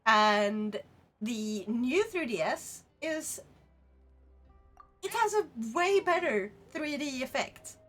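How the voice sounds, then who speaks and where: A woman with a Swedish accent, streaming and chatting casually with her live chat in a rambling, animated way, laughing often. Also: high-pitched, takes it slowly, soft background music. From Sweden.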